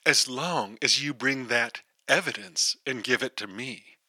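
A man's voice: mid-range voice, measured pace, sharp tone, husky, dry recording, full character voice. A man voicing a guarded woman. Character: wary adult woman on a call. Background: music.

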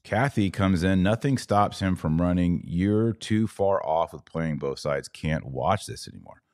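A man's voice: low voice, unhurried, darkish tone, dry recording, studio quality. A man hosting a podcast livestream, conversationally reading out viewer comments and responding to them. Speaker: podcast host. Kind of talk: casual live-stream commentary, reading viewer comments aloud.

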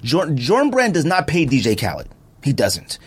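A man's voice: fairly high pitch, huge pitch swings, moderate pace, husky. A man in a heated, casual debate about his views, talking in slang, insisting and repeating himself for emphasis. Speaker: podcast host.

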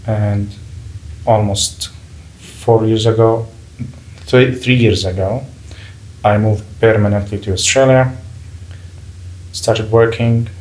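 Low-voiced man, measured and careful. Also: steady tone, darkish tone, rough recording. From Palestinian refugee, grew up in Gulf region. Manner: measured, pauses and restarts while choosing words. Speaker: guest. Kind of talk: interview, personal account. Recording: faint background noise.

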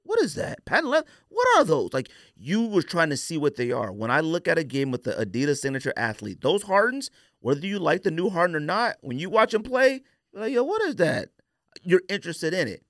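An American man in his forties talks like a podcast host deep in a casual debate. His pitch moves in lively swings and he drives points home by repeating them. The recording is close and dry. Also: a little fast, no echo. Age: 40s. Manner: emphatic, repeats phrases for stress. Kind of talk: casual opinionated sneaker debate.

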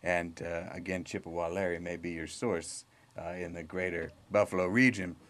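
A man with a fairly low voice hosts a podcast interview. He talks in a folksy, rambling manner with a comic bent, often doubling back on his words. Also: steady tone, moderate pace, a bit bright. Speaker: podcast host.

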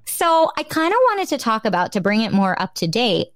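A woman's voice: fairly high pitch, fairly quick. New Jersey woman telling a story. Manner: animated, laughs often, acts out quotes. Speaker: online dating coach and host. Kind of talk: casual storytelling chat.

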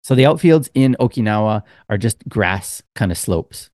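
Podcast co-host, male, lowish voice, dry close sound, loose rambling storyteller. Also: animated, moderate pace, darkish tone, no room sound. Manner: rambling storyteller, many fillers. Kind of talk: casual chat.

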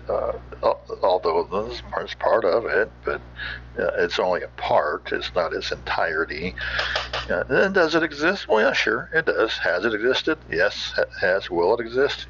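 Gravelly, low-voiced American man, folksy host. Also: lively intonation, moderate pace, a bit bright, dull audio, slight background noise. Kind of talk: casual, opinionated commentary.

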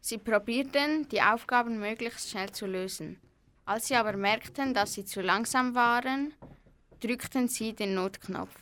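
A woman's voice: on the high side, unhurried, a bit bright. A young female narrator reading a scripted amateur radio play.

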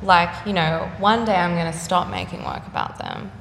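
A young woman, an artist chatting casually in an interview, full of fillers and quick laughs. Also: fairly low pitch, huge pitch swings, creaky, a bit bright. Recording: echoey room with faint noise.

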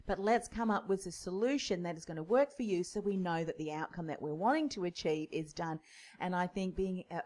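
A woman's voice: on the low side, a little mellow. A woman hosting a podcast interview, affirming and chatty. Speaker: podcast host.

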